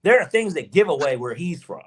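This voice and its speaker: An emphatic Southern man chatting on a sports podcast, his fairly high voice swinging up and down in pitch. He argues his points with energy and drops the odd curse. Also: brisk, slightly rough. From Georgia, US South. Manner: emphatic, animated, swears freely. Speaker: podcast co-host. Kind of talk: casual sports podcast chat.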